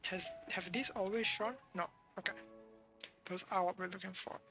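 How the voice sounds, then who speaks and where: A woman's voice: medium pitch, fairly even intonation, normal speed, over music. A woman game streamer giving casual commentary, musing and speculating aloud as she plays.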